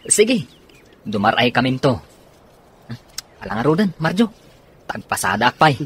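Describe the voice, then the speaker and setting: A man's voice: mid-range voice, sing-song, measured pace, a bit bright, husky, full character voice. A man playing an easygoing, friendly driver in an Ilocano radio drama. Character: easygoing male passenger-vehicle driver, friendly.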